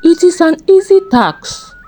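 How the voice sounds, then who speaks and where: A woman's voice: extremely high pitch, very animated, measured pace, dark tone, slight background noise, voice pushed up, exaggerated voice. A woman voicing a smug, wealthy male criminal recruiter in a folktale, confident and coaxing.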